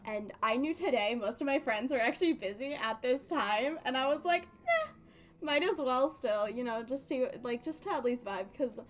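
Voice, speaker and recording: A woman's voice: high-pitched, very animated, normal speed. A woman chatting casually on a game livestream in a rambling, giggly, slightly nervous way. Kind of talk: casual game stream chat.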